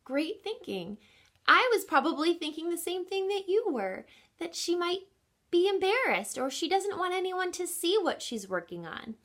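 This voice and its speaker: A woman reading a children's picture book aloud as a teacher, expressively and with deliberate emphasis, speaking in an encouraging way as if to young listeners. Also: very high voice, big pitch swings, a bit bright. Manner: encouraging, addresses children with questions and explanations.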